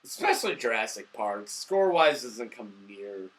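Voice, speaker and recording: A man's voice: mid-range voice, sing-song, moderate pace, a crowd in the background. A drunk man co-hosting a casual, profane movie review, rambling and easily losing the thread. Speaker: movie review show host.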